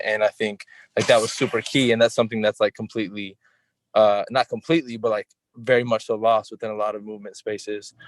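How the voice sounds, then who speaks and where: A man speaking informally in a group discussion, halting often as he searches for his words. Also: on the low side, dry recording, poor audio.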